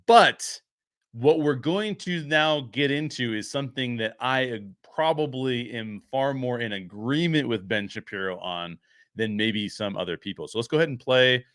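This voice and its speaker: A man's voice: mid-range voice, moderate pace, fairly bright tone, no echo, clean studio sound. A man giving unscripted film commentary as a show host, explaining his points emphatically.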